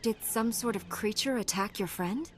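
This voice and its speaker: Woman playing calm noble game heroine, mid-pitched voice, steady and formal, light background noise. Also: moderate pace, lightly acted. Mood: calm, resolute. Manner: composed, assured, formal. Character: calm, resolute heroic female Jedi knight. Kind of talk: video game voice acting.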